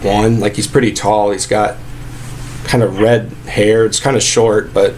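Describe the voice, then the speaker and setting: A man playing an aggrieved fast-food worker making a halting, rambling, repetitive complaint in a casual way. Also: lowish voice, level tone, a little mellow, close to an everyday voice. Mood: earnest, aggrieved. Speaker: prank caller posing as a sandwich shop employee. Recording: clearly noisy phone prank recording.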